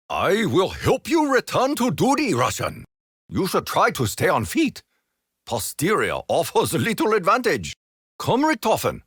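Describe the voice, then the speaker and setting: A stiff, honor-bound Japanese imperial soldier, speaks in formal game voice lines with a Japanese accent. His pitch leaps and plunges all over, sometimes within a single sentence. The recording is close and dry, with no room around it. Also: a bit bright, slightly rough, no echo, full character voice. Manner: formal, stiff phrasing with sudden comic deflation.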